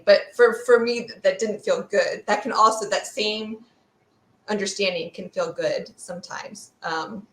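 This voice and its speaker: Echoey, low-voiced woman explaining conversationally. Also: a bit bright, a little hiss or hum. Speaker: guest expert, fear sociologist. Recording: roomy, echoey sound.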